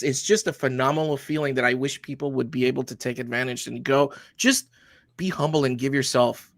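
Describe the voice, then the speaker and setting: A man's voice: mid-range voice, a bit bright, no room sound, clean studio sound. Earnest veteran man from Honduras. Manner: earnest, plain-spoken, occasional swearing. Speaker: military veteran and security business owner. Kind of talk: casual interview answers.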